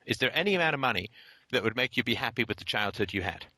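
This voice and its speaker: Male call-in host, blunt and lecturing, bright forceful voice, dry close mic. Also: mid-range voice, animated, very fast, no room sound. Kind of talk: blunt philosophical call-in talk.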